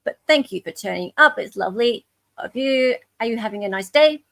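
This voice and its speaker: A woman's voice: on the high side, animated, brisk, creaky, fairly bright tone, no echo. A woman with an Australian accent hosting a casual live group chat in a chatty, informal, moderating way. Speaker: livestream host.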